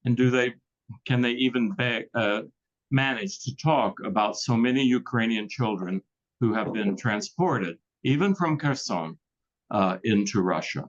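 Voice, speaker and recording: A man's voice: mid-range voice, a little slow. Earnest male moderator, muffled sound. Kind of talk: moderating a club talk.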